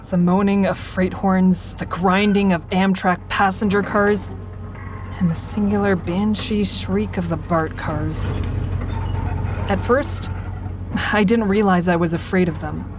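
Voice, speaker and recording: A woman's voice: medium pitch, darkish tone, close to an everyday voice. An actress playing a guarded, wry woman who narrates her life in a first-person audio drama with dry humor. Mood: weary, tense.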